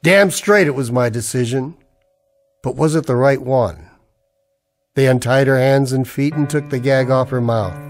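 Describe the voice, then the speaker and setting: Male actor as defiant noir hero-narrator, 1940s radio style, urgent, hard-boiled, medium pitch, music bed. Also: normal speed, lightly acted. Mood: grim, reflective. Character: tense, defiant man narrating a noir sci-fi ordeal. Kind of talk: 1940s radio drama acting with first-person narration.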